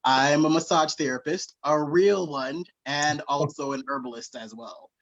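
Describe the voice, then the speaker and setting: A man from the Bahamas introducing himself as a guest on a video call, friendly and quick to laugh. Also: on the high side, fairly bright tone. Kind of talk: casual video-call chat. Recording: dull lo-fi audio.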